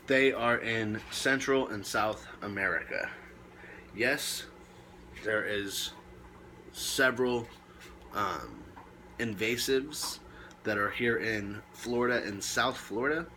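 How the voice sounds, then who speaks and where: A man presenting reptile care tips in a casual, laid-back way with short emphatic phrases, now and then coughing or clearing his throat. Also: fairly low pitch, bright, forceful tone, slight background noise, rough recording. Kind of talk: casual informal talk to camera.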